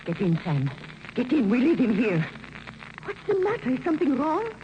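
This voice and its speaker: An actress playing a passionate, scheming femme fatale with a Spanish accent in an old-time radio detective drama. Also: female voice, fairly high pitch, huge pitch swings, moderate pace. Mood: urgent. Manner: melodramatic, coaxing and imperious. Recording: noisy, dull old radio recording.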